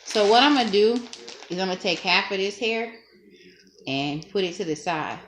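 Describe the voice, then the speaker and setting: A woman's voice: medium pitch, expressive, normal speed. Chatty young woman in an echoey room. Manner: chatty, self-teasing, laughs often. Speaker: hair tutorial vlogger. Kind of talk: casual talk-through tutorial. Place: small dim room at home. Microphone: camera propped low in front. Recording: echoey room, faint noise.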